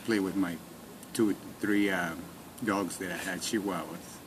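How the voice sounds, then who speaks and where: A man's voice: deep voice, fairly even intonation, unhurried. A man in his forties from Mexico with a Mexican Spanish accent, a Spanish speaker giving brief, plain answers with restarts in an oral history interview. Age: 40s. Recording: noisy recording with room hiss.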